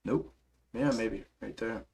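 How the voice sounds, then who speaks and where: A hoarse man hosting a stock market livestream, casually walking through charts and answering chat, calling viewers bro and man. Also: on the low side, slow, darkish tone. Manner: laid-back, calls viewers bro and man. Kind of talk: casual live chart commentary and chat replies.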